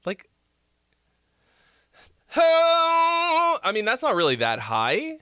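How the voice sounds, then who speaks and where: A man's voice: very high-pitched, wildly swooping pitch, extremely slow, sharp tone, faint music behind. Nasal-voiced man giving casual song feedback. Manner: rambling, many fillers and restarts. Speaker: music critique livestream host.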